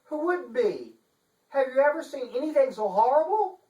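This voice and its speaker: A man's voice: extremely high pitch, sing-song, moderate pace, warm tone, voice pushed up. A man voicing a stiffly genteel, dour woman chancellor with an affected manner in a dramatic novel reading. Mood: distressed, shocked. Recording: echoey room, distant microphone.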